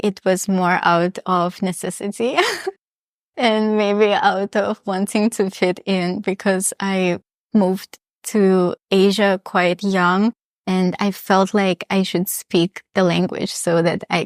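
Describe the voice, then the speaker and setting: A woman's voice: mid-range voice, little pitch movement, takes it slowly, dry recording, clean studio sound. A young woman from Russia, chatting reflectively as an interview guest. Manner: reflective, chatty, many restarts and small laughs. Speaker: guest, polyglot. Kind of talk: casual interview chat.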